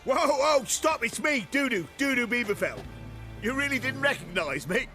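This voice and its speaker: Brash, bright-voiced shapeshifting rogue. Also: male voice, very high voice, expressive, moderate pace, faint music behind, full character voice. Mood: panicked. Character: shapeshifter posing as foul-mouthed crime boss. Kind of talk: video game dialogue.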